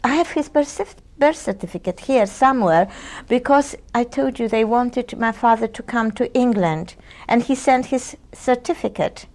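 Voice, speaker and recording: This is a Polish-born woman in her sixties recorded on an old 1980s cassette, giving testimony. Her voice is gravelly, and her speech is halting and searching, full of ums and restarts. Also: on the high side, a little mellow. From Poland. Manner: hesitant, searching for words with many ums and restarts. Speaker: interviewee. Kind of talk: oral history testimony. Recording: 1980s audio cassette interview.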